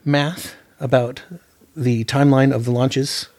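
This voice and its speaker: A man's voice: medium pitch, little pitch movement, unhurried, darkish tone, no room sound, clean studio sound. A man chatting casually about films in a wry, joking way. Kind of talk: casual film discussion.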